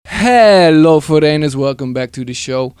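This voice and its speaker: A man's voice: fairly high pitch, expressive, unhurried, darkish tone, clear, resonant tone, no echo. A man from the Netherlands hosting a casual chat show, hesitant with dry jokes. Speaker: host.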